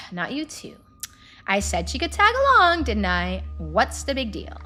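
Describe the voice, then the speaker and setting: Woman acting as young female mine owner, higher-pitched, bossy, curt, irritable, video game character. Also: wildly swooping pitch, a little slow, bright, forceful tone, faint music behind, heavily acted. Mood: exasperated.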